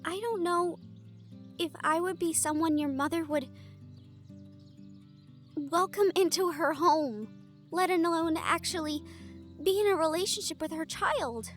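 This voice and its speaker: A woman's voice: very high-pitched, measured pace, long pauses, faint music behind. A woman acting in an intimate audio roleplay as an anxious, tender young woman from a family of hunters, speaking earnestly and hesitantly. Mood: worried, earnest.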